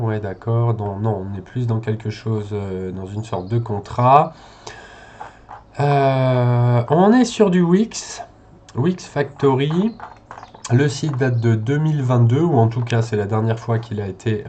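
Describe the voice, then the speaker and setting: A man's voice: mid-range voice, a little mellow. A man giving an unscripted screen-share commentary as a consultant, thinking aloud in a conversational, hesitant way with frequent check-in tags. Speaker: SEO consultant presenting a website audit.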